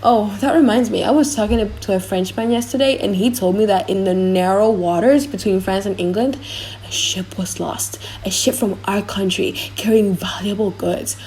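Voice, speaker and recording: A woman as a gossipy young gentleman. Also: medium pitch, normal speed, a little hiss or hum, lightly acted, poor audio. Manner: conversational, gossipy. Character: excitable young Venetian man swapping street gossip. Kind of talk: dramatic audiobook dialogue in modern English.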